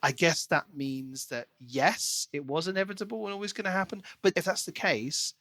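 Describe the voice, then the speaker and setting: A man's voice: fairly high pitch, moderate pace, sharp tone, no room sound. Man discussing books, dry close sound. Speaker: podcast co-host. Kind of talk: casual book discussion.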